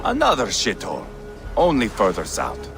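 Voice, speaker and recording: An actor playing a brash, foul-mouthed Viking warrior who is crude, mocking and hungry for war, in a video game. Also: male voice, lowish voice, wildly swooping pitch, normal speed, vocal fry, over music, full character voice. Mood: scornful.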